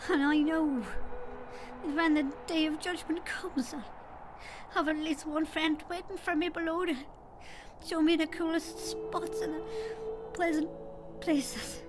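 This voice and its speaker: High-voiced little leprechaun king played by a woman. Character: leprechaun king, small old male storyteller, droll and wry. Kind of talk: audio drama storytelling.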